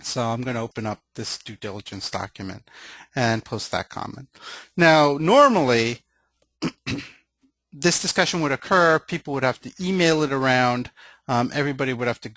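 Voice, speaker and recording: Man presenting a software webinar, dry sound. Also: medium pitch, moderate pace, dry recording. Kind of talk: software demonstration, explanatory and informal.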